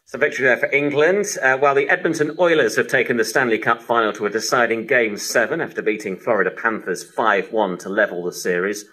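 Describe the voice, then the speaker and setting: A man's voice: medium pitch, fast, few pauses, sharp tone, breathy edge, low-quality recording. A man presenting live sports news as a radio host, heard over a shortwave radio broadcast.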